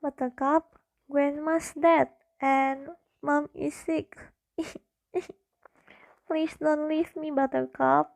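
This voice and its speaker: Radio drama role: woman as grieving little girl, very slow and pleading, high-pitched, dry close sound. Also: little pitch movement, creaky, no echo, full character voice. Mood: sad, pleading. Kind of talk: amateur radio drama acting.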